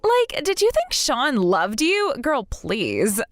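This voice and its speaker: A woman in her late twenties voicing a spiteful, bratty younger sister with a high, sneering, mocking voice. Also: huge pitch swings, slow, dry recording, soft background music, heavily acted. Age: late 20s.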